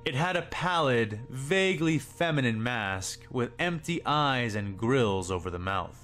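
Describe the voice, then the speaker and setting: A male narrator reads scripted dramatic fiction in a mid-pitched voice. Music plays clearly behind him throughout. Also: expressive, a little slow, fairly bright tone. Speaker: story narrator.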